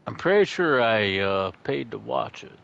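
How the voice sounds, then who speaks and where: A man chatting casually on a livestream, halting and stumbling over words, swearing often. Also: mid-range voice, sing-song, creaky, fairly bright tone, dull audio. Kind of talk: casual chat.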